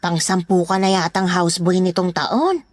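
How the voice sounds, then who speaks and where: A woman's voice: lowish voice, normal speed, soft background music, lightly acted. An actress playing an older female security guard, nosy and gossipy, in a horror audio drama. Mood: inquisitive. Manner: inquisitive, gossipy.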